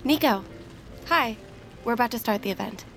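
A hurried, easily flustered hostess. Her voice is very high and swoops widely, with clear music behind her in the audio drama. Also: animated, a bit bright. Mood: politely brisk. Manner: flustered, hurried, polite to guests. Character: harried, sweary female event hostess.

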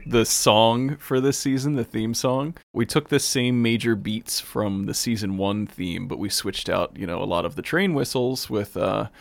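A man with a low, gravelly voice in a dry, close recording. He chats casually and with enthusiasm, like a producer talking behind the scenes. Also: dry recording. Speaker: podcast producer and sound designer.

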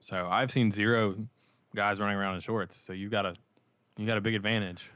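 A man co-hosts a sports podcast in a low voice. He talks quickly and drops dry quips. His audio comes through dull and muffled, and it sounds dry. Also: steady tone, a bit bright, no room sound. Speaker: podcast co-host, sports reporter. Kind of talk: casual sports talk.

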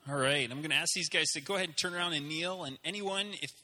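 Pastor with a bright, ringing voice. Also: male voice, a little high, fast talker. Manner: informal, with frequent fillers and restarts. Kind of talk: church service announcements and prayer. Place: church sanctuary. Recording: long hall reverb.

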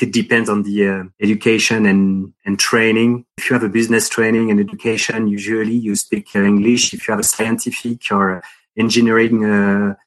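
A man's voice: fairly low pitch, poor audio. A man with a strong French accent, a company CEO answering podcast interview questions in a hesitant way, with many fillers.

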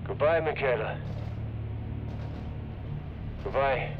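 A man's voice: mid-range voice, slow pace, music in the background, lightly acted. A man playing a resigned, self-sacrificing expedition member in audio fiction, with dull, muffled sound. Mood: resigned, tender.

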